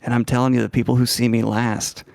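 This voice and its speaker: Gravelly man with a put-on Chicago accent. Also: little pitch movement, quick pace, a little mellow. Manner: low, threatening. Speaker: player. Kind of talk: in-character role-play.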